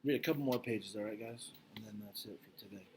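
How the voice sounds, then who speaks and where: A man's voice: on the low side, fast, darkish tone, slight background noise. A man reading a children's storybook aloud as narrator. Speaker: read-aloud reader.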